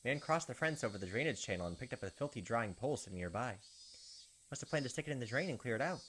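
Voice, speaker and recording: Animated man narrating a game playthrough. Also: mid-range voice, quick pace, a little hiss or hum. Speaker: gaming video host. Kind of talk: visual novel playthrough, read-aloud narration and casual commentary.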